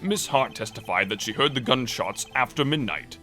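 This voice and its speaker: A man voicing a pompous, easily puzzled elderly courtroom judge, deliberate and bemused, in a game fan dub. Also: medium pitch, animated, bright, forceful tone. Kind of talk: fan-dub visual novel voice acting. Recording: voice over game music. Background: game music.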